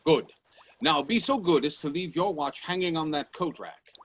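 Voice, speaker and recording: An eccentric gentleman inventor in a radio play, with a fairly high male voice. He speaks formally and emphatically, utterly sure of himself and grandly insistent. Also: lively intonation, normal speed. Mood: brisk, commanding.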